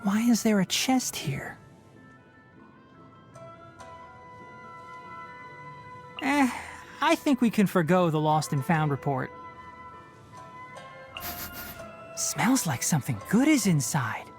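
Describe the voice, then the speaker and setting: Lively, boyish fox-eared forest watcher. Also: male voice, sits high, moderate pace, faint music behind. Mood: exasperated, brisk. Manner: articulate, gently instructive, dry. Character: young male fox-eared forest watcher, bookish, kindly, dryly exasperated. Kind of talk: video game character voice lines.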